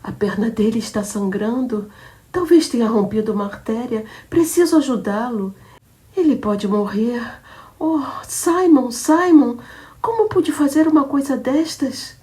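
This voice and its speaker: A woman's voice: fairly high pitch, measured pace, mellow, voice pushed up, lightly acted. A woman reader voicing a jealous young woman who shoots her lover and then falls into hysterics. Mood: hysterical. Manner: menacing then frantic and sobbing.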